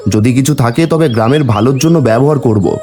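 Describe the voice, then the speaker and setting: A man's voice: mid-range voice, brisk, dark tone, soft background music, lightly acted. A man voicing an honest, humble village man in a cartoon fairy tale, speaking earnestly. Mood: earnest, resolute.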